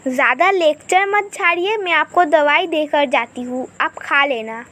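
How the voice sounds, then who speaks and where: A woman performs a young, stubborn daughter-in-law from an animated moral tale. The voice is strikingly high and unhurried. She keeps polite forms of address while firmly talking back. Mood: dismissive. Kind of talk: scripted animated moral story dialogue.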